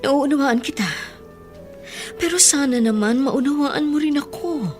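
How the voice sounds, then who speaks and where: Stern but caring Filipina older sister. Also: female voice, high-pitched, unhurried, darkish tone, soft background music. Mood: tender, earnest. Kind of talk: Filipino radio drama acting.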